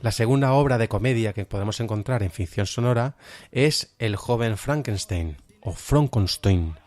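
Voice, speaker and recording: Male podcast host, Spanish accent. Lowish voice, informal reviewer, thoughtful and slightly hesitant. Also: moderate pace, darkish tone, soft background music. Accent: Spanish, from Spain. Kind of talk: informal spoken review commentary.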